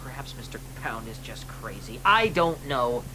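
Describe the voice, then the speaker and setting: An actor playing a troubled, earnest young son in a radio drama, brooding in tone. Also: male voice, mid-range voice, big pitch swings, normal speed, bright, forceful tone, slight background noise, rough recording. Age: young adult.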